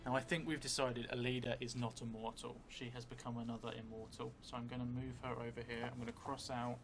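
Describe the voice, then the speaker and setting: A middle-aged man improvising a story for a solo tabletop game, haltingly thinking aloud and dictating as he writes. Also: medium pitch, flat delivery. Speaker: solo role-playing streamer. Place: indoor theatre room, seated at a table. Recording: clearly noisy room recording.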